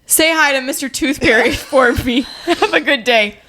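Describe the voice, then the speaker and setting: Young woman podcast cohost, bit higher pitched, blunt and jokey, chatting with family. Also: moderate pace, fairly bright tone. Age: young adult. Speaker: podcast co-host. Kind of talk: casual comedic family chat.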